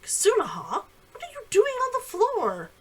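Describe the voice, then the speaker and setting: A woman's voice: very high-pitched, huge pitch swings, moderate pace, a little hiss or hum, pitched above the performer's own voice, heavily acted. A woman reading a storybook part as an irritable young nobleman with a blunt manner. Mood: annoyed surprise.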